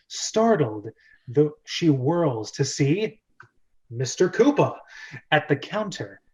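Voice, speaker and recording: Higher-pitched man hosting a script read-through. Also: darkish tone, highs cut off. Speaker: host and narrator of a script read-through show. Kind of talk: chatty hosting and dramatic script reading.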